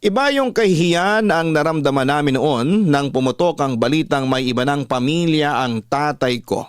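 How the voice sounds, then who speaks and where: A man's voice: fairly high pitch, moderate pace, smooth, no room sound, clean studio sound. A man hosting a radio story show, reading a listener's letter as dramatic scripted narration. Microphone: close studio microphone, dry. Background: soft music.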